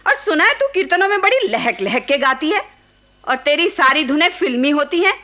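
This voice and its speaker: A high, piercing middle-aged aunt in a radio drama. She runs the household with sharp orders and constant nagging, bossy and scolding in her manner. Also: big pitch swings, moderate pace, heavily acted. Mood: bossy, stern. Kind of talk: Hindi radio play acting.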